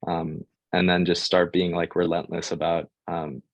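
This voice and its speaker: Very deep-voiced American man, flat delivery. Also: moderate pace, dry recording, dull audio. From United States. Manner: hesitant, filler-heavy delivery. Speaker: guest sharing job-search advice. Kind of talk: informal panel answer.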